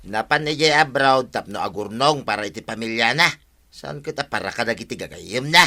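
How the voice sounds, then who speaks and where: A man's voice: medium pitch, animated, fairly quick, creaky, sharp tone, heavily acted. A man with an Ilocano accent playing a sociable, pleading village husband in a radio comedy drama, talking emphatically. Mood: explaining, insistent.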